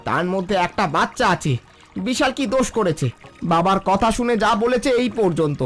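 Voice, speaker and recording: A man's voice: high voice, lively intonation, brisk, fairly bright tone, soft background music. A man playing a brave, selfless village father and riverman in a cartoon, firm and urgent. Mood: urgent, determined. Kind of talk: cartoon dialogue.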